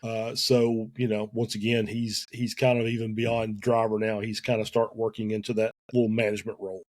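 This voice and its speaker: A man's voice: on the low side, level tone, a little mellow. Man with a Southern drawl. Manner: halting, many fillers and restarts, chuckles often. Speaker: guest, sim racing team co-owner. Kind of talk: casual podcast interview.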